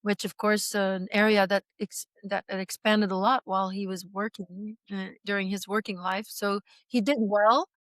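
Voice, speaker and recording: A woman in her sixties from Belgium tells her story slowly and reflectively, often pausing and restarting her sentences. Her voice is smooth and clear, recorded close and dry, at a middle pitch. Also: steady tone, no room sound. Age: 60s. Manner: reflective, halting, many fillers and restarts. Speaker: guest. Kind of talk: personal testimony in interview.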